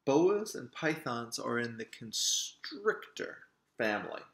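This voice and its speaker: A man reading a children's story aloud in an expressive way, as the author, with asides to show pictures. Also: mid-range voice, slow, creaky. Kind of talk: animated children's storybook narration. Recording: roomy, echoing sound.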